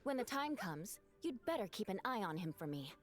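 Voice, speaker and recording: A woman's voice: mid-range voice, very animated, moderate pace, faint music behind, lightly acted. An actress voicing a proud, aloof female knight captain in a video game, speaking in a cool, dry way. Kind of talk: game voice acting.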